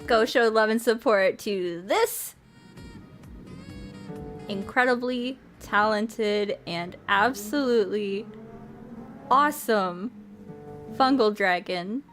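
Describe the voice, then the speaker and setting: Slow-paced playful woman streamer over music. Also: mid-range voice, fairly bright tone. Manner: playful, chatty. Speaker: streamer and host. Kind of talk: casual livestream chat and reading a visual novel aloud.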